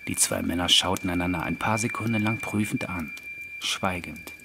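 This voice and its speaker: A male narrator with a fairly low voice reads an eerie literary story. The delivery is scripted audiobook narration, and the recording carries clearly audible noise. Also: moderate pace.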